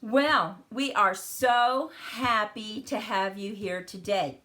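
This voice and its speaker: A woman's voice: medium pitch, slow, pushed and bright. A woman hosting a live card-making stream, chatty and welcoming, her voice a little crackly after the flu. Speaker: host of a card-making channel. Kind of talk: live stream chat and crafting demo.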